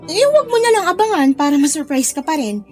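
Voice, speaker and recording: Nosy, supportive young Filipino best friend. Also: female voice, high-pitched, big pitch swings, quick pace, faint music behind. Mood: curious, reassuring. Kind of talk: radio drama acting.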